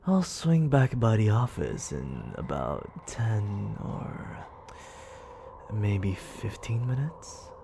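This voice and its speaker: Man voicing a smug, gravelly demon lord. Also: on the low side, sing-song, noisy background, full character voice. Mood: brisk, commanding. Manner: condescending, taunting, languid and deliberate. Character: smug, menacing male demon lord, cruel and toying. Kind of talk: ASMR audio roleplay, addressing the listener.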